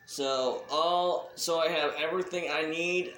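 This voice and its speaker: A man with a slightly high voice makes a casual, off-the-cuff announcement like a hobby video creator. He speaks slowly, in a halting and deliberate way. The recording has a noticeable room echo. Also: steady tone, fairly bright tone, slight background noise. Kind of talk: casual unscripted shout-out announcement.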